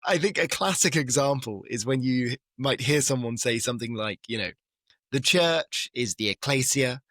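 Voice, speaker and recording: A man who is a scholar and a guest on a podcast, explaining ideas in a relaxed conversation, with emphatic rises and light laughs. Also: medium pitch, normal speed, dry recording, clean studio sound. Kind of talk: casual conversation.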